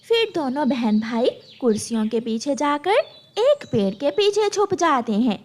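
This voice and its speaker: Slow, high-pitched woman narrating a children's story. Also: sing-song, darkish tone.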